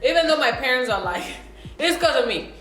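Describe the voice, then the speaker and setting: A woman's voice: high voice, expressive, a little fast, fairly bright tone. A young woman from South Sudan chatting casually to camera as a vlogger while she eats, often checking in with her viewers. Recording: roomy room with faint background music.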